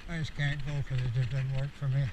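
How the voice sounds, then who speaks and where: A man's voice: medium pitch, flat delivery, quick pace. A man of about sixty giving a candid, rambling, reflective monologue as a vlogger, recorded outdoors on a bike ride with an action camera. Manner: candid, meandering, self-reflective. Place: outdoors, cycling on a rural road. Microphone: action camera on the bike or rider. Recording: outdoor wind and road noise.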